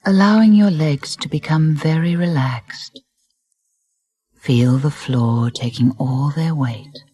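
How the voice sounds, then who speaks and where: A woman narrating a guided meditation in a soft, breathy voice, soothing and deliberate, with gentle phrasing and pauses. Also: sits low. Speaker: relaxation guide. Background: music.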